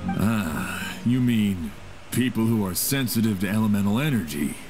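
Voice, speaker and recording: An actor voicing a skeptical, puzzled man in a game, questioning in a deliberate, incredulous way. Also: on the low side, animated, lightly acted. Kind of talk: scripted game dialogue. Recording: music behind the voice.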